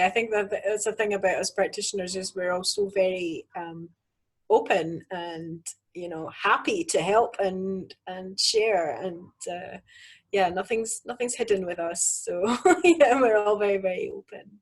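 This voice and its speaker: A woman's voice: mid-range voice, fairly even intonation, normal speed, slightly rough. A woman, a healing practitioner, chatting with her co-host on a video call in an easygoing, friendly way. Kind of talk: casual chat to viewers.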